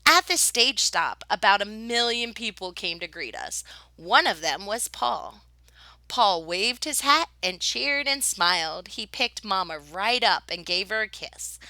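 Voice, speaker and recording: A woman reads a children's storybook with a bright voice and lively, swooping intonation. She takes her time over the lines and plays up the drama, with a close, dry sound. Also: mid-range voice, dry recording. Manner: dramatic, with whispered suspense and excited exclamations. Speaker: teacher reading a picture book aloud. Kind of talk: expressive children's storybook read-aloud.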